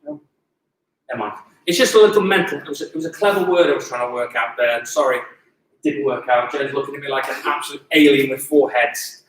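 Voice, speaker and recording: A man's voice: medium pitch, quick pace. A man in his late forties teaching a Muay Thai class online, calling out short repeated cues with self-deprecating asides. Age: 40s. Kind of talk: live online class instruction. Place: gym. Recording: echoey gym from a distance.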